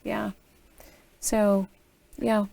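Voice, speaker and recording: A woman's voice: medium pitch, level tone, very slow, creaky, warm tone. An American woman interviewing student teachers over a video call, asking open questions in an encouraging way. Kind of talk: reflective interview.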